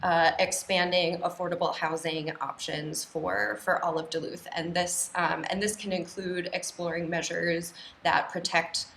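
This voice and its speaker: A woman's voice: fairly low pitch, flat delivery, a little slow, gravelly, few pauses, a bit bright, a little hiss or hum. An American woman giving a candidate's answer at a public forum in a studio. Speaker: city council candidate. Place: local TV studio. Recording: roomy studio sound.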